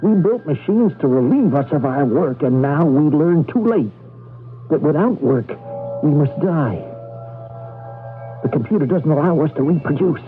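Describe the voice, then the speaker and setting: An actor playing a grave, fearful male fugitive in a 1980s radio drama, speaking portentously with hesitant, dramatic pauses. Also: fairly high pitch, animated, close to an everyday voice. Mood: despairing. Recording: tinny vintage radio broadcast, faint music behind.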